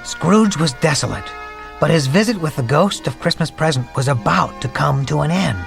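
A man's voice: a little high, animated, moderate pace, creaky. A man voicing an earnest storyteller creature, proud of his art, narrating a radio play dramatically over music. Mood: dramatic, hushed. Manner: theatrical, dramatic, patient narrator. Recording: music under the voice.

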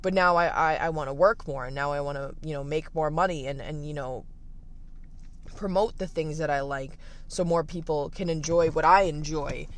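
A young man in his late teens with a raspy voice, candidly thinking aloud in a casual livestream from the beach, full of fillers and restarts. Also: fairly high pitch. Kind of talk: reflective casual livestream monologue.

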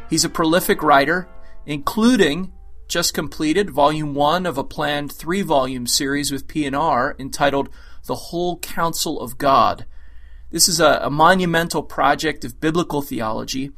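A man hosting a short interview podcast, reading the intro and asking clear, organized questions. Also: on the high side, normal speed, a bit bright, faint music behind.

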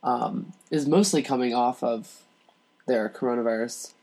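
A man's voice: medium pitch, measured pace, warm tone, rough recording. A man speaking as a podcast guest, chatting casually and reading a listener's letter aloud.

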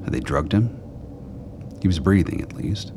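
Very deep male narrator. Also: animated, normal speed, dark tone, a little hiss or hum. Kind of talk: scripted story narration for a radio play.

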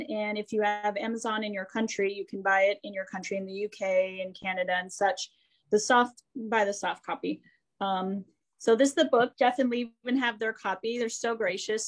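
A woman's voice: mid-range voice, poor audio. An American woman hosts a talk show in an upbeat, chatty way. She laughs easily and keeps the conversation moving with friendly enthusiasm. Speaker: host and therapist. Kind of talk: enthusiastic interview introduction.

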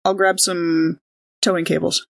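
A woman's voice: fairly low pitch, level tone, a little slow, vocal fry, fairly bright tone. A woman talking casually as a player in a tabletop role-playing game.

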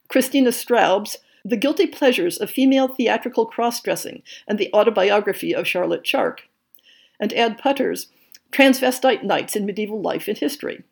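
A woman hosting a podcast, reading a scripted monthly update in a measured way. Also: medium pitch, vocal fry, a little mellow. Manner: measured, reads lists of titles and blurbs. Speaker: podcast host.

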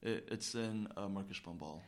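A man co-hosting a casual podcast, telling stories in an excitable, slangy way and often repeating himself. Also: deep voice, normal speed. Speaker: podcast co-host. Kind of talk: casual chat, animated storytelling.

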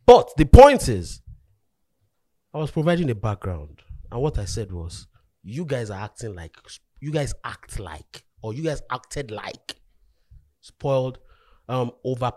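A man's voice: mid-range voice, big pitch swings, a little slow, mellow, no echo, clean studio sound. A man hosting a podcast debate, talking emphatically and repeating himself to drive points home. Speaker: podcast host.

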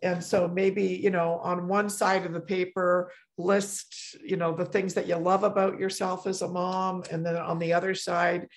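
Middle-aged woman, podcast host, gravelly voice, chatty, lots of fillers and restarts. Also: on the low side, flat delivery, normal speed, hardly any pauses. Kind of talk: casual conversation.

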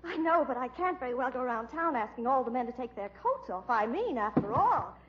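A woman's voice: fairly high pitch, big pitch swings, brisk. A woman acting a plucky, scatterbrained young newspaper reporter in a radio comedy-mystery, chatty, naive and flustered. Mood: panicked. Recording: dull lo-fi recording.

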